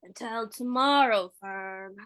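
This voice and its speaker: Woman as a bright, coaxing carnivorous plant. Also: on the high side, takes it slowly, smooth, dry recording. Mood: coaxing, sweet. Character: sly, sweet-talking talking flytrap plant, female, coaxing. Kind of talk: podcast musical read-through.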